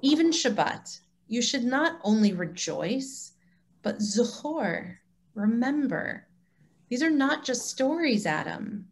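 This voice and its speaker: A woman acting as an assured, wise mentor with a fairly high voice. She teaches with deliberate emphasis in a staged dialogue read over a video call. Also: sing-song, full character voice. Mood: earnest, teaching.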